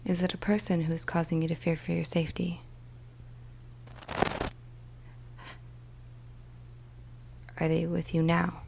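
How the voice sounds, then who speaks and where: Woman playing a troubled 999 dispatcher, soft whispery asmr storytelling, lowish and creaky, bit of background hum. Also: monotone, rough recording. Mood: calm, reassuring. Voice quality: soft, breathy, often whispered. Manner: slow, hushed, deliberate, with pauses. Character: weary, troubled female police emergency call dispatcher. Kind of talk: ASMR storytelling, first-person dramatic monologue.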